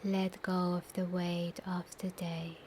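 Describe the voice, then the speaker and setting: A woman guides a meditation very slowly in a soft, breathy near-whisper. Her pitch stays slightly low and very even, with long soothing pauses. Faint ambience sits behind her voice. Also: slight background noise. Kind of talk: guided meditation, slow scripted instruction. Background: soft nature ambience.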